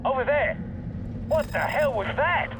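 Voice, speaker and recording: Jittery recon soldier, high-pitched. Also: male voice, expressive, unhurried, background noise. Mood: alarmed. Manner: military radio reporting, breaking into alarm. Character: panicky male recon soldier reporting over radio. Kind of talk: video game voice acting. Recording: music behind the voice.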